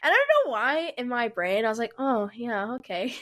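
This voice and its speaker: A woman's voice: on the high side, huge pitch swings, moderate pace, bright, forceful tone. A woman in her twenties, a podcast co-host, telling a rambling story in casual chat and acting out what people said. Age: 20s. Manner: rambling, laughing, acts out quotes.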